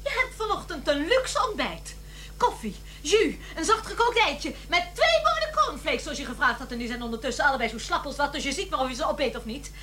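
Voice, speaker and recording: An emotional housemate in an audio drama, emphatic and animated. Her voice is very high and bright, with a faint hum behind it. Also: brisk, exaggerated voice. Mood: exasperated. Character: exasperated, caring woman at home, talking to her man.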